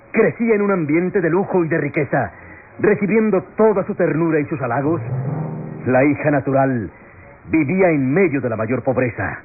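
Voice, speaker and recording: A tinny, noisy old radio recording carries an impassioned defense lawyer in a melodramatic radionovela. His delivery is solemn and declamatory, swinging widely in pitch as he pleads to the jury with rhetorical questions and heavy pauses. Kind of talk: classic radionovela courtroom oratory, melodramatic.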